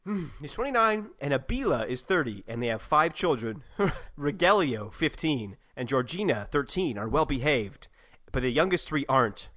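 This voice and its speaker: A man's voice: mid-range voice, very animated, normal speed, fairly bright tone. A man on a comedy podcast, reading a wiki page aloud and often cracking up. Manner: reads aloud, frequently breaking into laughter. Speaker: podcast co-host.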